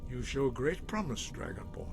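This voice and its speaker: A man's voice: medium pitch, huge pitch swings, normal speed. A man playing a solemn old monk master instructing a pupil in a fantasy video game. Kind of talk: video game quest dialogue. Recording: clear background music.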